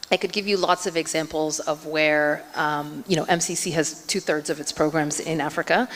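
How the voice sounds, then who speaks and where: Low-voiced woman speaking in a reverberant hall. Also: fairly bright tone. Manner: earnest, hedging with frequent fillers. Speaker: conference keynote speaker. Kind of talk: conference panel answer. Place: conference hall.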